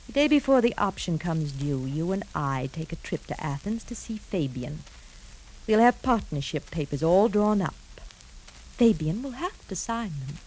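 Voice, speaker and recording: A woman's voice: lowish voice, big pitch swings, normal speed, darkish tone. An actress playing a scheming, ruthless woman who coyly feigns innocence, in a theatrical 1950s radio drama style. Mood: calculating, persuasive. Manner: theatrical, coy, calculating. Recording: noisy 1950s radio broadcast recording.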